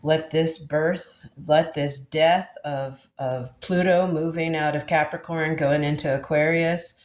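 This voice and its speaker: A woman's voice: sits low, fairly even intonation, slow, roomy sound. A woman in her fifties, congested from the flu, musing through a tarot reading in casual spiritual talk, laughing often and repeating herself. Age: 50s. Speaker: tarot reader.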